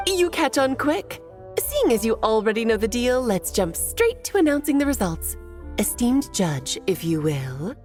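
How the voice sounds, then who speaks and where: A woman's voice: a little high, sing-song, a little slow, darkish tone, soft background music. A woman playing a whimsical, doting witch mother in a video game, teasing and cutesy. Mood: fond, teasing. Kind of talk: video game cutscene acting.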